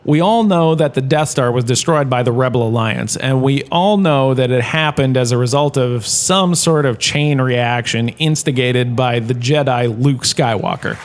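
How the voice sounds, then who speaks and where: A man's voice: medium pitch, moderate pace, hardly any pauses, slight background noise, close to an everyday voice. An actor playing a chatty, self-important male professor in a comic audio drama lecture, with a theatrical, conversational manner. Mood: wry, emphatic. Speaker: lecturer, host of the show.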